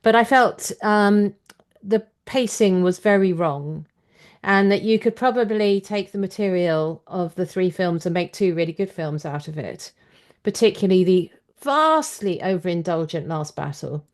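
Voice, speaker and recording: A woman's voice: on the low side, a little slow. A woman hosting a podcast interview, conversationally offering her own opinions and questions.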